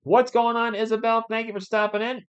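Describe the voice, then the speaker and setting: A man's voice: extremely high pitch, level tone, a little fast, clear, resonant tone, dull audio. A man hosts a live sports stream while slightly under the weather. He mixes casual fan play-by-play with conversational replies to viewers, whom he greets by name. Playful asides are scattered through his commentary.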